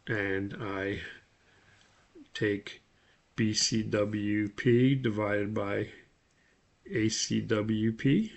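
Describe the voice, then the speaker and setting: A man giving a methodical, step-by-step spoken tutorial as an instructor, with a creaky voice. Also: on the low side, fairly even intonation, very slow, long pauses. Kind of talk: spoken screen-share tutorial.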